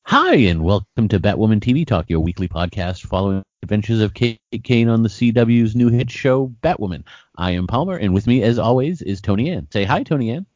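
A man co-hosting a podcast in casual chat, joking, animated, often restarting his sentences. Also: fairly low pitch, brisk, no echo. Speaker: podcast co-host. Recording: dry, dull lo-fi sound.

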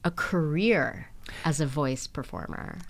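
Lower-pitched woman podcast host, playful. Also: a little slow, vocal fry. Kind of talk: casual interview chat.

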